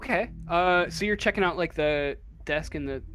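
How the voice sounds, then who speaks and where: A man improvising tabletop game narration as game master, informal and halting as he feels his way through descriptions. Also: a little high, a bit bright, faint music behind.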